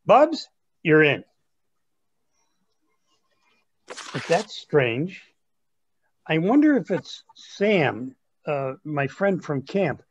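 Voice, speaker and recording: Husky, excitable young grandson, acted by a man. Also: fairly high pitch, full character voice. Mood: excited. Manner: eager, halting, placating. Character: earnest, self-absorbed, excitable 23-year-old grandson. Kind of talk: staged reading of a comic play.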